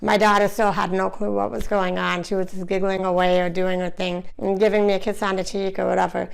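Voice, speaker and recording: A hoarse woman chatting to camera on her own channel, her voice gravelly with fry yet still smooth underneath. She talks loosely and conversationally, leaning on fillers as she goes. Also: medium pitch, level tone, brisk, low-quality recording. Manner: chatty, many "you know" fillers. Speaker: vlogger, channel host. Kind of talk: casual to-camera chat.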